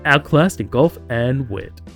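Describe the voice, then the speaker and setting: A man's voice: medium pitch, sing-song, slow, vocal fry, soft background music. A man casually live-streaming a game, switching between reading narration aloud and sarcastic, sweary asides.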